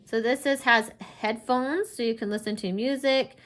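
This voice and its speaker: A woman's voice: a little high, fairly even intonation, moderate pace, a bit bright. A woman giving a casual product review, explaining things plainly step by step.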